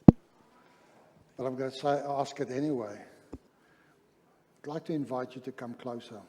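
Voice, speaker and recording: A man from Southern Africa with a South African accent, speaking English as a third language, giving a measured, deliberate sermon-style seminar talk with pauses between phrases. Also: medium pitch, fairly even intonation, very noisy. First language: not English, which is a third language. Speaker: pastor, seminar presenter. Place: large church hall, speaking to an audience. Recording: reverberant hall, long echo.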